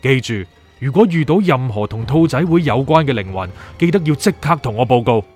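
A man's voice: medium pitch, lively intonation, a little fast, a little mellow, faint music behind, close to an everyday voice. Man acting stern, terse commanding officer. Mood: satisfied, ordering. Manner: authoritative, terse. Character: stern, commanding male superior of soul prosecutors. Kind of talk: Cantonese radio drama acting.

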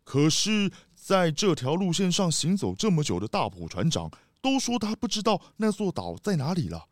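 A man playing a polite, worried city mayor who tries to calm people in a radio drama. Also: on the high side, normal speed, no echo, clean studio sound. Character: anxious, placating city mayor hosting a cruise.

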